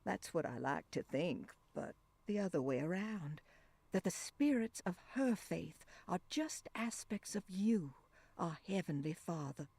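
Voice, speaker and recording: An actress voicing a devout 1920s woman reading her prayer diary aloud in a hushed, reverent voice that drifts into whispers. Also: lowish voice, animated, measured pace, dry recording, full character voice. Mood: hushed prayerful. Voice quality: husky and gravelly. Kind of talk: game voice-over narration.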